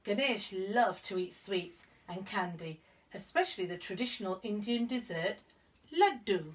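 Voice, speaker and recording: A woman narrating a children's story expressively as a storyteller, with clear emphasis for young listeners. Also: medium pitch, expressive, unhurried, far from the mic. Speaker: storyteller, school reader.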